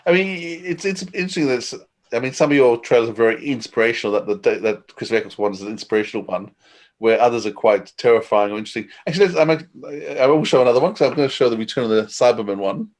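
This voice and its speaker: A man's voice: medium pitch, expressive, quick pace. A man hosting a podcast, chatting casually as he interviews a guest.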